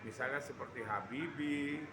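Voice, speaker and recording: A man's voice: medium pitch, normal speed. A man from Java, explaining his views conversationally as an interview guest, often checking that the listener follows. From Java, Indonesia. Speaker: interview guest giving political opinion. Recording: roomy, echoey sound with background noise.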